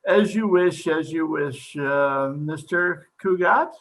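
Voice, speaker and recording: A high-pitched, creaky psychiatrist. He is pompous and absent-minded, speaking slowly and haltingly in old-time radio comedy style. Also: a bit bright, full character voice, low-quality recording. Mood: smooth, insistent. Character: eccentric, absent-minded male psychiatrist with comic pomp.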